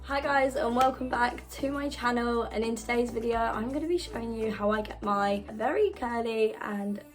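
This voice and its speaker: A young woman, husky because she is unwell, chatting casually through a hair tutorial at home. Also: fairly high pitch, moderate pace, over music. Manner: chatty, self-deprecating. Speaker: beauty vlogger.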